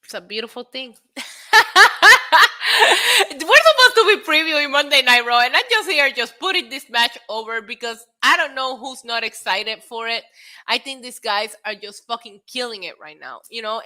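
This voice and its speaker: A woman in her late thirties hosting a casual wrestling live stream, excitable and a little tipsy, laughing, swearing and dropping in Spanish phrases as she answers chat. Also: on the high side. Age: 30s. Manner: frequent laughter and profanity. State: tipsy from drinks. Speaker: wrestling show host.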